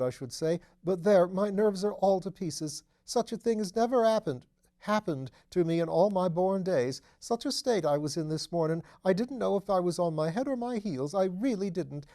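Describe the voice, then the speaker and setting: A man's voice: high voice, dark tone, voice pushed up. A man voicing a small, nervous, apologetic man in an audiobook, deferential and fussy as he repeats himself. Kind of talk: audiobook character voice.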